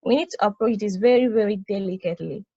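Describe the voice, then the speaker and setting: A woman's voice: mid-range voice, quick pace, warm tone, dry recording, lightly acted. A woman plays a responsible space probe with an articulate, emphatic way of talking. The sound is dull and lo-fi, close and dry. The character is a prim big-sister type in a stageplay reading. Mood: exasperated, earnest. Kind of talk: stageplay table read.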